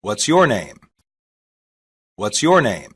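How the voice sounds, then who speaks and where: A reader saying short English practice sentences slowly and evenly, each one twice, for a language lesson. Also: medium pitch, sing-song, fairly bright tone, slightly rough. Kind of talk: slow repeated phrase reading.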